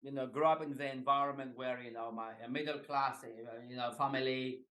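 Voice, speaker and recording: A man in his forties from Greece, talking as a guest in a casual interview over a video call, relaxed and halting, with many fillers and light laughs. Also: medium pitch. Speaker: guest, executive coach.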